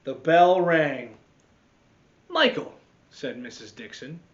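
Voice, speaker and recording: A man reading a picture book aloud to children as a teacher, expressively, with dramatic swings and deliberate pauses. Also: fairly high pitch, big pitch swings, highs cut off. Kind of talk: animated children's storytime read-aloud.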